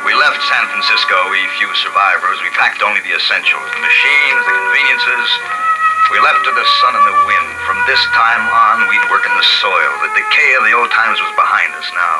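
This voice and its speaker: A man voicing a grave, earnest survivor narrating in a vintage radio play, as an actor performs him. His voice sits fairly low, and it comes through an old broadcast recording with music underneath. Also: a little fast, lightly acted, poor audio. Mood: somber. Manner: somber, earnest, musing. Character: weary man leading a few plague survivors, grave father. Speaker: narrator and lead character. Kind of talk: 1950s radio drama acting.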